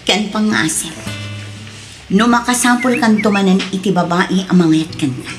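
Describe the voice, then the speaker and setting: A woman's voice: mid-range voice, normal speed, lightly acted. A woman with a Filipino accent playing a hurt but resolute young mother in an Ilocano radio drama. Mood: sad, resolute. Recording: music behind the voice.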